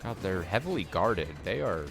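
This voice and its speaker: A man with a very low, deep voice talks his way through a video game stream in a loose, chatty way. He gets excited over new gear and reads item text aloud as he goes, with faint game music under him. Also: moderate pace, vocal fry. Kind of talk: casual gameplay commentary.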